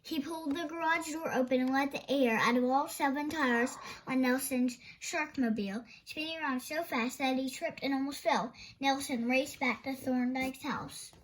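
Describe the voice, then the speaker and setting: A woman's voice: high voice, normal speed, slight background noise, rough recording. A woman storyteller reading a children's picture book aloud expressively.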